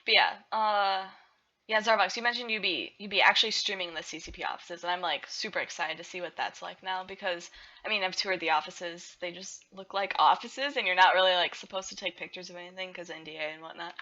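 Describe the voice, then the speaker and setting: A woman's voice: lowish voice, brisk, sharp tone, highs cut off. A woman hosting an online talk show, upbeat and moving the talk along. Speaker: host. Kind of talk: casual chat.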